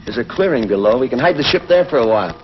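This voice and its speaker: An actor playing a brisk, commanding spaceship pilot hero in a 1950s television sci-fi serial. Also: male voice, medium pitch, lively intonation, natural voice. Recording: dull, very noisy 1950s TV soundtrack.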